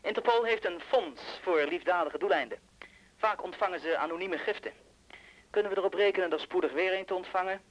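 A male actor plays a suave Interpol official in a radio drama. The voice is a little high for a man, with measured, urbane phrasing and dry irony. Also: muffled recording, natural voice. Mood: dryly ironic. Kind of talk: Dutch radio drama acting.

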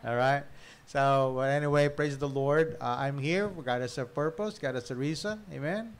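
A middle-aged man with a Filipino accent speaking informally as a pastor, pausing often and punctuating with amens. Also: medium pitch. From Philippines. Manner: halting, with repeated amens. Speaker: pastor introducing guest speaker. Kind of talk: informal church address. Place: small church hall, at pulpit. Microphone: pulpit microphone.

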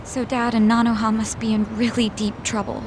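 A woman's voice: a little high, level tone, moderate pace, background noise, close to an everyday voice. An actress playing a worried, earnest young woman in a dramatic video game. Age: young adult. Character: worried young hostess, guarded, earnest twin sister.

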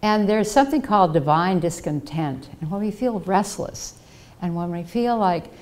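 A woman's voice: on the low side, expressive, moderate pace, darkish tone. An older woman giving a reflective personal talk at a podium in a library auditorium, telling stories in an earnest way, on a headset mic. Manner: earnest, reflective storyteller, acts out quoted voices. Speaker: guest speaker.

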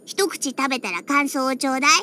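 A woman's voice: high voice, fast, bright, forceful tone, slight background noise. A woman voicing a feisty little catgirl in an anime game, with a childlike, cutesy voice and a huffy, pouty manner. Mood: bashful, proud. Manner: pouty, huffy, with cat-like verbal tics. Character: feisty little catgirl bartender who hates alcohol. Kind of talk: Japanese anime game character acting. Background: background music.

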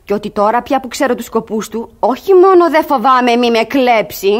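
An actress playing a crafty young ward in a radio theatre comedy, coaxing and feigning wounded virtue with theatrical emphasis. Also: female voice, on the high side, natural voice. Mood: urgent, coy. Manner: theatrical, emphatic, artfully expressive.

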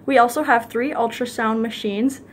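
A woman's voice: on the high side, steady tone, moderate pace, slight background noise. A young woman student walking through a veterinary clinic, explaining each room in a plain, matter-of-fact way. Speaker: student tour guide. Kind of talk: guided facility tour. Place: veterinary teaching clinic rooms.

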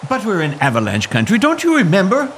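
An actor playing a grieving young English gentleman in a Victorian audio drama, speaking earnestly and formally. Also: high-pitched, wildly swooping pitch, quick pace, slight background noise, exaggerated voice. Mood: alarmed, pleading.

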